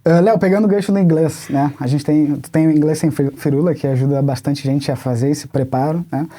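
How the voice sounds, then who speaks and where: A man's voice: fairly high pitch, steady tone, mellow. A man with a Brazilian accent hosting a casual panel, moderating and asking questions. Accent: Brazilian Portuguese. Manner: quick, chatty, moderating. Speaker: host.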